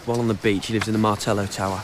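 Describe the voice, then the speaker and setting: A man's voice: on the low side, level tone, racing, noisy background, close to an everyday voice. An actor playing a jittery, questioning young-sounding film crew man in a naturalistic audio drama. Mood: wary. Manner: anxious, questioning. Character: jittery, anxious male film crew member.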